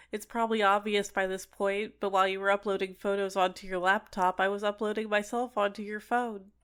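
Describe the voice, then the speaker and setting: A woman's voice: mid-range voice, clear, resonant tone, natural voice. A woman playing an obsessive, sentient dating-sim character in an ASMR roleplay, clingy and coaxing with menacing sweetness. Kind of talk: scripted ASMR roleplay monologue.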